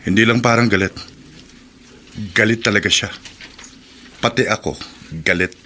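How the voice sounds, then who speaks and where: A man's voice: fairly low pitch, steady tone, normal speed, pushed and bright, a little hiss or hum. An actor playing an angry, accusing young man with a confrontational manner in a Filipino radio drama. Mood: cold.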